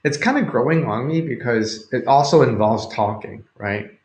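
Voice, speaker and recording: A man's voice: mid-range voice, normal speed. A man, a Cantonese speaker, chatting casually and hesitantly as he hosts a livestream, rambling and thinking aloud. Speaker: livestream host. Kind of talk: casual live chat with viewers. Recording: roomy, echoing home recording.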